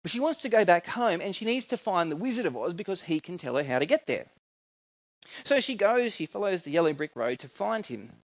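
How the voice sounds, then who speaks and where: A man's voice: high-pitched, big pitch swings, a little fast, no room sound. Australian man preaching, conversational, close dry sound. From New South Wales, Australia. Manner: conversational, informal, with rhetorical questions to listeners. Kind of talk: sermon with scripture reading and personal anecdotes.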